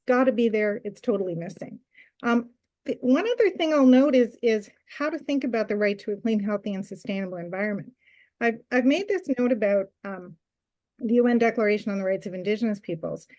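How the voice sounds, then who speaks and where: A woman from Canada giving measured, earnest academic remarks on a webinar panel over a video call, with occasional fillers. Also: a little high, darkish tone.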